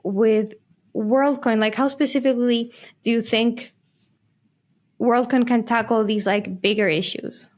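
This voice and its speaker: Woman, from Chile, interview host, slightly high voice, casual, filler-heavy. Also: fairly even intonation, measured pace, a little mellow. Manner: chatty, with many fillers like "like" and "you know".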